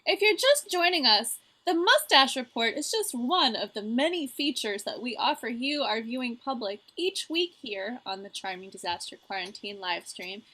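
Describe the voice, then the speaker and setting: A woman's voice: a little high, expressive, moderate pace, few pauses, bright, forceful tone. A woman co-hosting a home livestream, speaking in a theatrical, tongue-in-cheek way that slips between casual banter and mock-formal announcing. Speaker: livestream co-host and musician.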